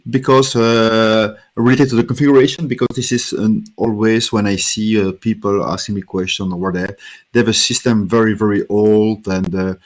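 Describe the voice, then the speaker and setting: Man, French-speaking Belgian accent, native French speaker, lowish voice, halting technical talk full of "uh". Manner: halting, many "uh" fillers and restarts. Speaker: conference speaker.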